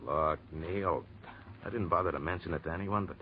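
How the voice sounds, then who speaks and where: A man's voice: deep voice, moderate pace, natural voice. An actor playing a breezy, evasive, self-serving salesman in an old radio drama, glib and defensive. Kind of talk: 1940s radio acting. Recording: muffled, noisy old radio recording.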